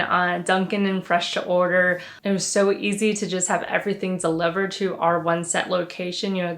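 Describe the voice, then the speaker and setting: A woman's voice: mid-range voice, a bit bright. Chatty young woman vlogger. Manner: chatty, many fillers like "you know" and "uh". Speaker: filmmaker, vlog host. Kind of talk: casual vlog talk with filmmaking tips.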